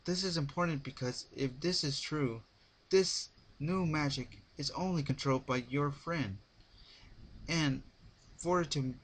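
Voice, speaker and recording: A man's voice: a little high, poor audio. Man reading as a dignified female pony ruler.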